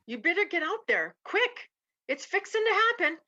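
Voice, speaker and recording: A woman's voice: extremely high pitch, very animated, normal speed, pushed and bright, lo-fi sound, exaggerated voice. A woman playing a folksy, urgent Western deputy in a radio play. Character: Chester, excitable rustic Western deputy with folksy drawl.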